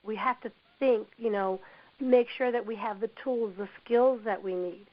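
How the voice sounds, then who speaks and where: A woman with a hoarse voice from a cold, presenting a workplace training webinar in an encouraging manner, often saying "you know". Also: on the high side, normal speed. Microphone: computer mic on a webinar call. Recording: dull lo-fi web audio.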